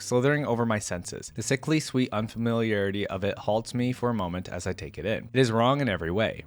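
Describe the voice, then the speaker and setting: A man hosting a reaction video, reading a dramatic story aloud and adding casual commentary. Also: fairly low pitch.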